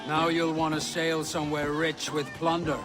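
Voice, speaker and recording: A man performs a seasoned, sceptical pirate captain from a video game, in a fairly high male voice. He is blustery, salty and condescending, with faint music behind him. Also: completely flat delivery, moderate pace, natural voice. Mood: bluff, instructing. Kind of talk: video game cutscene acting.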